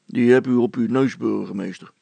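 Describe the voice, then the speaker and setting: A man's voice: medium pitch, a little fast, creaky, warm tone, no room sound. A man voicing an exasperated, wisecracking tinkerer in a children's story, grumbling in a dry, teasing manner.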